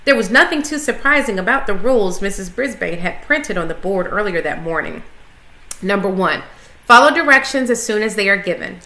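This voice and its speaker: A woman reading a children's storybook aloud with expressive, clearly phrased narration. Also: mid-range voice, fairly quick. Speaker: story reader, school coach. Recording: echoey room sound.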